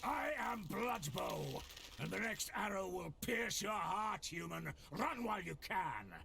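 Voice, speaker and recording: An actor voicing a fierce, proud, battle-hardened hunter chief in a video game, gravelly and defiant. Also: male voice, sits high, very animated, a little slow, sharp tone, slight background noise, exaggerated voice. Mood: threatening. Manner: defiant, declamatory.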